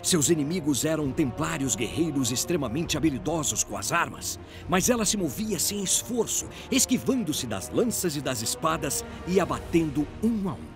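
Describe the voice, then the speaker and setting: A man's voice: on the high side, sing-song, moderate pace, few pauses. A man with a Brazilian accent giving dramatic scripted narration of a fantasy audio drama. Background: music.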